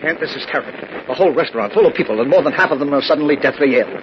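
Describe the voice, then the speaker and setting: An actor playing a gruff, worldly male newspaper chief and mayor with brusque authority, in 1940s radio style. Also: a little high, lively intonation, racing, close to an everyday voice. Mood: alarmed. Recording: muffled, noisy old radio recording.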